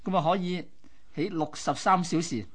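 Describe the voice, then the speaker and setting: 一位帶香港粵語口音嘅男搭檔主持，用閒談語氣逐點講述細節，口語助詞好多。. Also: male voice, fairly high pitch, normal speed, slightly rough. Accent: Hong Kong Cantonese. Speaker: radio co-host. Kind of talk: casual explanatory radio chat.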